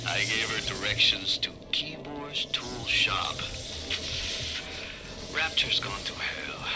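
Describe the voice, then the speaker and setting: An actor playing a sly, streetwise male hustler in a video game, talking in 1940s noir slang as on an audio diary. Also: medium pitch. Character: slangy male hustler of a retro underwater city.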